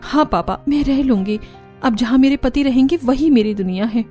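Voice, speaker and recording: A woman narrator puts on a young, well-off bride, devoted and dramatic. The voice is high and earnest, in cartoon melodrama style. Faint music sits behind it. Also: moderate pace, mellow, close to an everyday voice. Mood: earnest, reassuring.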